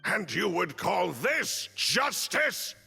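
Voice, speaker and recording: An actor playing a loyal, defiant and teasing manservant with formal, theatrical diction in a video game. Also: male voice, high-pitched, very animated, slow pace, vocal fry, sharp tone, husky, big-room sound, exaggerated voice. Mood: indignant, defiant. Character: loyal male servant defending his young lady, defiant, teasing. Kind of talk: dramatic video game voice acting. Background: music.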